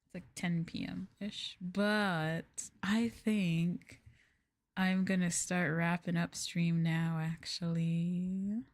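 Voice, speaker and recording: A woman with a fairly low voice talks very slowly and softly, close to the mic. She sounds like an ASMR streamer chatting casually, letting her sentences trail off. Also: little pitch movement. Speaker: VTuber streamer, host. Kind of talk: casual ASMR chat livestream.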